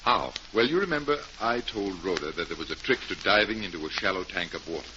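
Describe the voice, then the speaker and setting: Confident low-voiced magician detective. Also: male voice, lively intonation, fast, bright, forceful tone. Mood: explaining, assured. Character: confident male magician detective, calm and assured. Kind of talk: 1940s radio acting. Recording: dull, noisy vintage radio recording.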